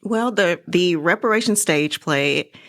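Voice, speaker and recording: A woman's voice: fairly low pitch, animated, a little slow, fairly bright tone. A woman talks as a guest in a studio interview. Her delivery is casual and conversational, like someone recounting an anecdote to the host. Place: podcast studio.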